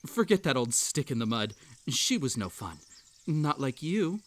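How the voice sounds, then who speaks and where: Slow-talking, unhinged magical hare host. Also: male voice, medium pitch, sing-song, a bit bright. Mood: doting, obsessive. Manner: theatrical, halting, swings between sweet coaxing and menace. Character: unhinged, obsessive male hare-like magical tea-party host. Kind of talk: roleplay audio acting, intimate second-person address.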